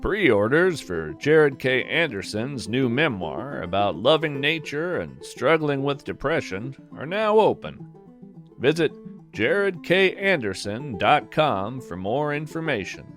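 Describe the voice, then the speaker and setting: Slow, lively-toned male podcast narrator. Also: mid-range voice, faint music behind. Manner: calm, measured, gently philosophical. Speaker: podcast host and writer. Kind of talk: scripted reflective narration.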